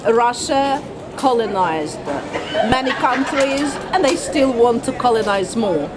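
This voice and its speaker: Earnest lively woman co-host. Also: on the high side, slow, over a noisy crowd, very noisy. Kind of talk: casual discussion.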